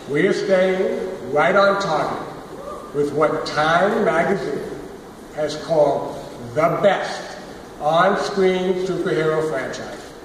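A network president giving a scripted, polished presentation of the season lineup from a large theater stage. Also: male voice, sits high, a bit bright, far from the mic, slight background noise. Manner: polished, announcing. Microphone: far from the recording device, through the hall speakers. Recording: echoey hall recorded from the audience.

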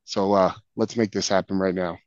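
A hoarse man on a video call reciting sales closing lines with a confident, scripted delivery. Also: low-pitched, steady tone, normal speed, darkish tone, lo-fi sound. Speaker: sales trainee. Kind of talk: sales role-play.

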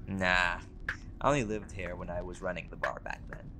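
A man's voice: deep voice, steady tone, normal speed, noisy background. A man playing a laid-back, vain, centuries-old vampire in a conversational roleplay audio drama, with casual, sweary, bantering delivery. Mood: reflective, candid.

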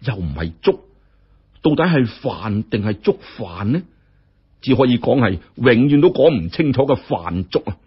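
Husky, animated Cantonese storyteller man. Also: medium pitch, moderate pace, dull audio. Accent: Hong Kong Cantonese. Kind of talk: dramatic scripted story narration.

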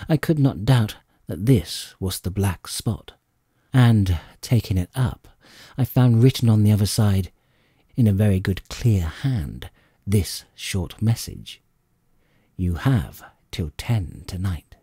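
A man narrating a classic adventure audiobook in an expressive, suspenseful storytelling manner. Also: on the low side, slow pace, breathy edge, dry recording, clean studio sound. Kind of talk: dramatic scripted storybook narration.

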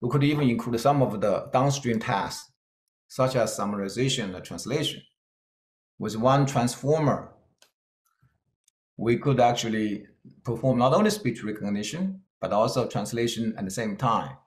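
A middle-aged man with a Chinese-Scottish accent gives a keynote in a roomy hall. His pitch sits in an ordinary middle range. He speaks earnestly and plainly, with hesitant fillers. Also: animated, normal speed. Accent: Chinese-Scottish English. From China. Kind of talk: conference talk with slides.

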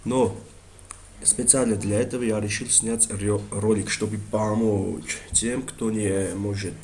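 Male reviewer, low voice, non-native Russian accent, halting delivery with fillers, light hiss. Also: steady tone, darkish tone. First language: not Russian. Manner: halting, many fillers and restarts. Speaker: online shopping reviewer. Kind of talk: screen-recorded review voice-over.

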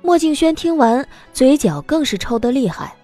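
Woman reading an audiobook, highish voice, very lively intonation, dry sound, soft music under it. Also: moderate pace. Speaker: narrator. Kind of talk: scripted audiobook narration.

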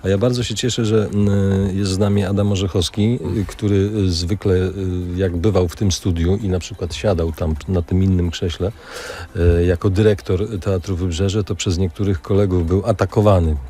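A man's voice: low-pitched, steady tone, normal speed, mellow. A man interviewing guests in conversational speech in a radio studio.

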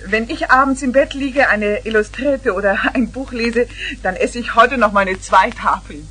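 A woman's voice: fairly high pitch, normal speed, sharp tone. An actress playing a friendly, unflappable young woman in a 1970s radio play. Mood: breezy. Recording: faintly noisy old vinyl record.

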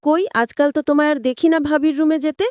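A woman acts out a devoted, suspicious village wife in a narrated family story. Her voice is very high, her rural Bengali speech colloquial and questioning, recorded dry and close. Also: steady tone, brisk, darkish tone, dry recording, close to an everyday voice.

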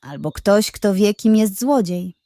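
A woman's voice: medium pitch, lively intonation, measured pace, mellow, no room sound, clean studio sound. A woman voicing a firm, decisive male animal friend in a bedtime audio story.

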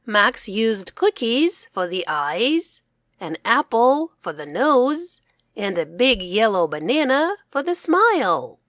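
A woman's voice: fairly high pitch, sing-song, takes it slowly, a bit bright, no echo, clean studio sound. A woman reading scripted children's storybook narration as its author, enunciating carefully for English learners.

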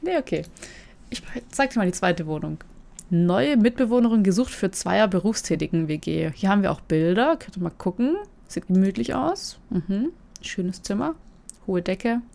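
A woman in a relaxed commentary chat, reading out online listings and musing over them. Her voice has a gravelly, fry-tinged texture and sits at a moderate pitch. She keeps a casual, questioning manner, often turning to her co-host. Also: moderate pace.

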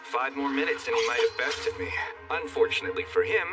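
An actor playing a cocky young fantasy hero in an animated dub, narrating and bantering with smug, sardonic wit. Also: male voice, mid-range voice, a little fast, distant mic, lightly acted. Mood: wry narrating. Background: music.

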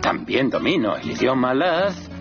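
A boastful cook who brags and fusses, his voice leaping all over the place. The dub sounds a little dull, with loud guitar music under it. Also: medium pitch, very animated, a little slow. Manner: boastful, flustered, yelping. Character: bearded man, boastful amateur cook. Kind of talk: Spanish dub of a TV drama.

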